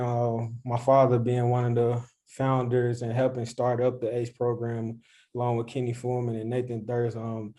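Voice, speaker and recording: A man's voice: mid-range voice, dark tone. A young man from Chicago giving a conversational answer as a panelist. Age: young adult. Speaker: panelist, former youth baseball player.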